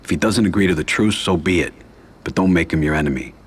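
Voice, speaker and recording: An actor playing a cold, calculating middle-aged mob boss who speaks calmly and with quiet command in a game cutscene. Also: male voice, sits low, slightly rough. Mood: warning. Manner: calm, measured, quietly commanding.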